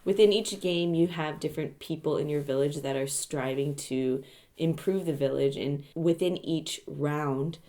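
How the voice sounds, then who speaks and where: A woman discussing a game casually as a podcast panelist, in a measured, deliberate way. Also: sits low, warm tone.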